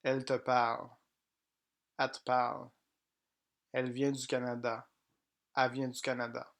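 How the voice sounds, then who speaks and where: A man teaching pronunciation, reading each example sentence slowly and plainly, often twice. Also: medium pitch, monotone, fairly bright tone. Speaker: French language teacher. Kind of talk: slow pronunciation drill.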